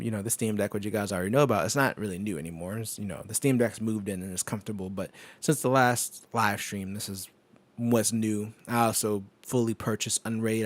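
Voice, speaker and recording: A man with a low voice chatting casually on a home live stream, rambling with many fillers and frequent laughs, into a microphone on an arm. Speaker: tech streamer. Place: home desk setup. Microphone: microphone on an arm through an audio interface.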